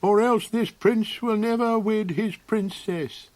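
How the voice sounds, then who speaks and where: A man voicing an excitable, irritable little old fantasy creature in a high, quavering, hesitant voice for a storybook. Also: takes it slowly, dry recording. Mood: crying out. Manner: hesitant, sputtering with uhs.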